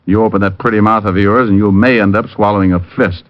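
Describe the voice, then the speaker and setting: An actor playing a wisecracking hard-boiled private detective who also narrates, in an old radio drama. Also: male voice, on the low side, steady tone, fast, no room sound, natural voice. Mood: needling. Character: wisecracking tough private detective, adult man. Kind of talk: 1940s-50s radio drama acting. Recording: dull old radio broadcast with music.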